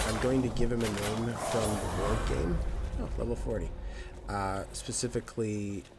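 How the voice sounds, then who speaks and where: Nasal man chatting over game music. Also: on the low side. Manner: rambling, with many fillers and restarts. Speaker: gaming channel host. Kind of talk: casual let's play commentary. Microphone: new microphone.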